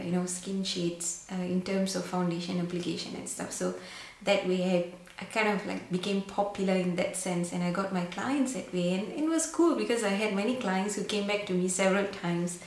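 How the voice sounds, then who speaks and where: A woman's voice: medium pitch, creaky. A young woman from Singapore chatting to camera in a casual vlog, full of fillers and restarts. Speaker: makeup vlogger. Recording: very echoey room, faint background hiss.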